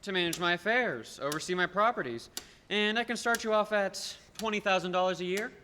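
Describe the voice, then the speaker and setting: A young man playing a scheming rich businessman in a radio play, patronizing and persuasive, with deliberate emphasis. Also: high-pitched, pushed and bright. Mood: coaxing. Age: young adult. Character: scheming rich businessman, condescending, smooth-talking and domineering. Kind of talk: filmed radio play acting. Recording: echoey hall, background noise.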